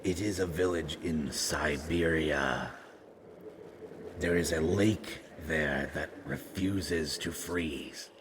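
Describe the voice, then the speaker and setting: Man voicing a very low, halting, eerie broken being. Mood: grave, instructing. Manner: halting, cryptic, formal phrasing in short fragments. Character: eerie broken supernatural being speaking as a plural "we". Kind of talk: scripted audio roleplay acting. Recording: noticeable background noise.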